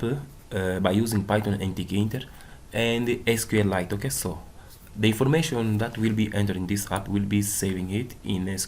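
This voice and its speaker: A man whose first language is Portuguese, walking through a coding tutorial in a casual way, often saying "okay" and "boom". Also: a little mellow, a little hiss or hum. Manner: non-native English with small slips. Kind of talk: screen-recorded coding walkthrough.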